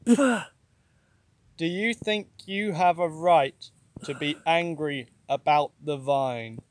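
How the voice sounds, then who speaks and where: A man voicing a calm, measured divine figure who questions the prophet in a comic children's Bible skit. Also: on the high side, slight background noise. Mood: solemn.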